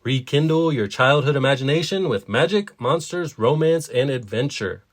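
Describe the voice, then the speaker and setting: A man's voice: mid-range voice, animated, moderate pace. A man co-hosting a story podcast, chatting casually and giving introductions. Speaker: podcast co-host.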